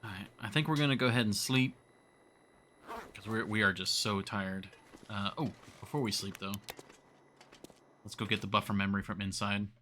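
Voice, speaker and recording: Bright-voiced man streaming a game. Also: lowish voice, fairly even intonation, moderate pace, pauses often, slight background noise. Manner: thinks aloud, talks to chat. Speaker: livestreamer playing a survival game. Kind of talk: casual gameplay commentary.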